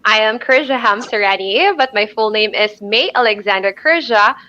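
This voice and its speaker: A woman's voice: a little high, normal speed, pushed and bright, dry recording, highs cut off. A woman in her twenties with a Filipino accent, a pageant candidate answering casually and cheerfully over a video call, a bit hesitant. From Philippines. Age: 20s. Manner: cheerful, laughing, slightly hesitant.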